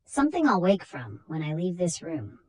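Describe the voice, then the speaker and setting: A woman's voice: on the low side, huge pitch swings, moderate pace, warm tone, breathy edge, heavily acted. A woman voicing a frightened but determined young woman painter in a dramatic horror storybook tale.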